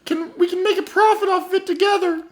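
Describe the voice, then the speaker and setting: A woman voicing an excitable, gushing lady book manager in an improvised radio comedy. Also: very high voice, fast talker, pitched above the performer's own voice. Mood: eager, gushing. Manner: gushing, eager.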